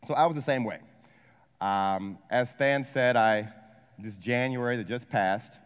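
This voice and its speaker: A man in his forties from Ohio, giving an energetic motivational seminar talk and asking a live audience questions. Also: mid-range voice, a little slow, smooth. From Ohio, USA. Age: 40s. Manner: energetic, asks the crowd questions, jokes. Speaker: wealth advisor and coach giving a seminar talk. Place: large hall with a live audience. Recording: long hall reverb.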